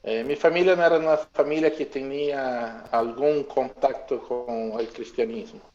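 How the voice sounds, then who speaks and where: Halting interpreter man, dull sound. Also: mid-range voice, steady tone, darkish tone, slight background noise. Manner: mixes Spanish and Portuguese, halting. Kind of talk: consecutive interpreting.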